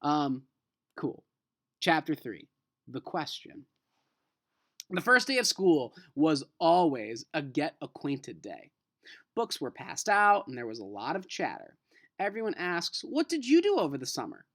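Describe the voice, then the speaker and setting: A male teacher with an animated, swinging pitch and a close, dry sound. He moves between storybook read-aloud and casual lesson talk, expressive and informal as he addresses his students. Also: slow pace, long pauses, no echo. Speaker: teacher reading a children's novel aloud.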